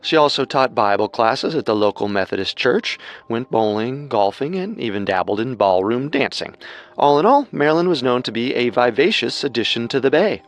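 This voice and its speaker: A man's voice: medium pitch, animated, moderate pace. A man co-hosting a true-crime show with scripted narration. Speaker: co-host, narrator.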